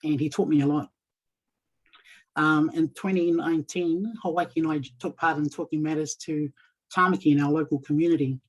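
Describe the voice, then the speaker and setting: A man in his thirties with a New Zealand accent, a community coach giving a warm, soft-spoken webinar talk over a video call. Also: a little high, steady tone, fairly quick, poor audio. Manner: soft-spoken, warm, with Samoan and Māori greetings. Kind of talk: presenting in a webinar.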